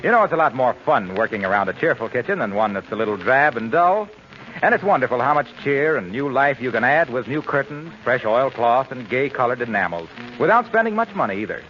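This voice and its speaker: A man's voice: medium pitch, big pitch swings, brisk, slight background noise. An American man announcing a 1940s radio advertisement in cheery sales patter. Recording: dull 1941 radio recording.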